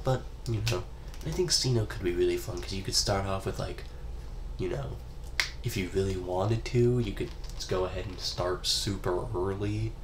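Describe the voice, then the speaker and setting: A man's voice: deep voice, noisy background. A young man with a congested, stuffy voice from a cold, rambling casually about a video game and swearing now and then. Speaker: gaming YouTuber. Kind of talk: casual solo gaming commentary.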